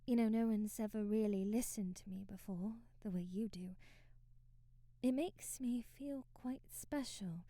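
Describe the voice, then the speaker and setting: A woman playing a shy, devoted young housemaid in an ASMR romance roleplay, speaking softly and breathily with hesitant, genteel, old-fashioned phrasing and frequent whispers. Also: on the high side, no room sound. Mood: tender, shy. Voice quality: soft, breathy, often dropping to a whisper. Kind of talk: ASMR romantic audio roleplay, period drama. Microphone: close mic, dry.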